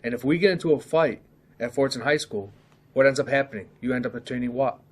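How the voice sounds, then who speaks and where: Fast-talking stern school disciplinarian, acted by a man. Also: mid-range voice, close to an everyday voice. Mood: stern, firm. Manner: firm, formal, instructing. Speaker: school administrator questioning students. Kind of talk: amateur school dramatization, questioning.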